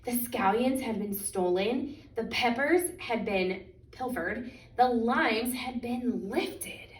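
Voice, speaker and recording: A woman reads a picture book aloud for children in an expressive, deliberate way, stretching out key words. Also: on the high side, a little hiss or hum. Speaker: children's story reader. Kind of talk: animated picture-book storytime reading. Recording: echoey room, distant microphone.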